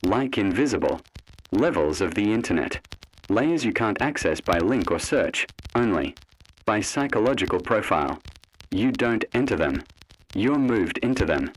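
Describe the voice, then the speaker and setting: A man's voice: on the low side, animated, normal speed, low-quality recording. A man narrating a scripted horror piece in an ominous manner, speaking directly to the listener.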